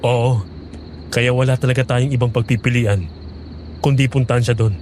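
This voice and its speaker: An actor playing a serious, cautious young monster hunter who explains things respectfully in a Filipino horror audio drama. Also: male voice, medium pitch, steady tone, moderate pace, darkish tone, slight background noise, natural voice. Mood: grave, resolute.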